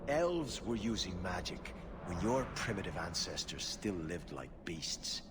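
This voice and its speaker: Stern low-pitched elven warrior. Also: male voice, animated, a little slow. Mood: defiant, menacing. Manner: stern, scornful. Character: proud, defiant male elven clan warrior, stern. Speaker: video game voice actor. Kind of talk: dramatic game dialogue. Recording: music behind the voice.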